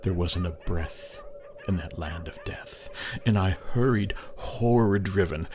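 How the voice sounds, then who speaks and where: A man narrating a poem as a dramatic recitation, theatrical and measured, with long pauses. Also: low voice, darkish tone, slight background noise.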